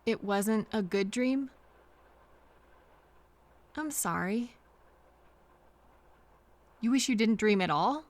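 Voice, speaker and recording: A woman playing a kind, apologetic train passenger in an intimate audio roleplay, speaking softly and hesitantly to reassure a frightened stranger. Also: mid-range voice, expressive. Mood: soothing. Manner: gentle, hesitant, reassuring, pausing for replies.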